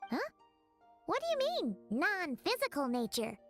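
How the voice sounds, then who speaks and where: A woman voicing a tiny, chatty, anxious fairy companion in an anime game, with a squeaky childlike voice. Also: wildly swooping pitch, measured pace, faint music behind, full character voice. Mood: puzzled. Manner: speaks of herself in the third person, fretful.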